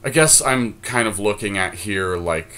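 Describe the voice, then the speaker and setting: A man's voice: on the low side, a little slow, sharp tone. A young man co-hosting a podcast, chatting casually and thinking aloud with lots of ums and restarts. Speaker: podcast co-host. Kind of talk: casual chat while drafting a card game.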